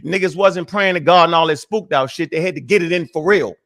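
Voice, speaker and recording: A man's voice: a little high, brisk, a bit bright. A man hosting a casual talk show. He rants hard, swears a lot and trips over many restarts as he goes. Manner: fiery, profane, rambling with many restarts. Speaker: show host.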